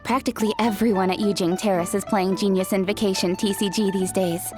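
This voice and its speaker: A woman's voice: medium pitch, steady tone, brisk, natural voice. A woman playing a dignified, gracious young noblewoman in a video game, speaking in a polite, self-assured, formal way. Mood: composed. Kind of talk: video game character acting. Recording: music behind.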